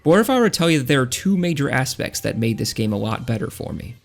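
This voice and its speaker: A man's voice: mid-range voice, big pitch swings, fast, creaky, soft background music. A man narrating a scripted video game review in a casual, blunt, opinionated way. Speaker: video essayist reviewing video games.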